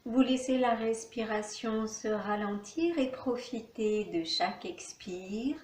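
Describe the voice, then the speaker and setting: A woman teaching yoga nidra, giving calm, soothing guided relaxation instructions with long pauses in an echoey room. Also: on the high side, a little hiss or hum.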